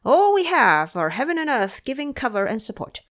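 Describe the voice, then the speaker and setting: A woman voicing a humble, pious male monk in an audio drama, courteous and measured, with a preaching manner. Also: high voice, big pitch swings, pitched above the performer's own voice. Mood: gently lecturing.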